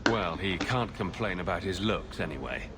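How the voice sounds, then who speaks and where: A confident fantasy game hero with a fairly low voice. He is dry and lightly teasing, a flirtatious adventurer heard over clear background noise. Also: animated, normal speed. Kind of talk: video game dialogue.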